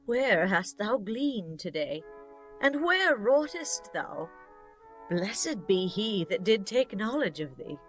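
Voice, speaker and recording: A woman playing a caring, devout older mother-in-law giving gentle, measured counsel in a dramatized scripture audiobook. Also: medium pitch, sing-song, faint music behind. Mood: grateful.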